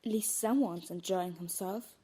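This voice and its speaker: A woman's voice: on the low side, moderate pace, background noise, close to an everyday voice. A woman playing a clever, practical, determined teenage witch girl in an audio drama. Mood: hushed urgency. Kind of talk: fan audio drama acting.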